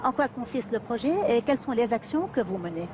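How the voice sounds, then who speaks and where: A woman co-hosting a television talk show, asking a guest direct, brisk questions. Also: fairly high pitch, animated, background noise.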